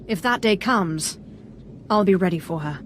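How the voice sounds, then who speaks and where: A woman's voice: medium pitch, animated, normal speed, background noise, lightly acted. Confident, ruthless apprentice played by a woman. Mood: defiant. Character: confident, ruthless young female Sith apprentice.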